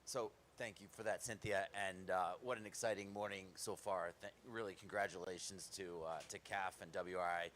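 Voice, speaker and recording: Low-voiced American man on a panel. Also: fairly even intonation, moderate pace, hardly any pauses, a bit bright, a little hiss or hum. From United States. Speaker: panel speaker. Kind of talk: conference panel remarks.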